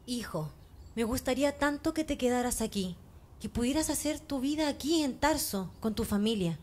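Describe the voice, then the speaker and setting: A woman's voice: a little high, expressive, moderate pace, a little mellow. A woman playing an affectionate, wistful mother of a young man in a radio drama. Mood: pleading, wistful. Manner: tender, pleading.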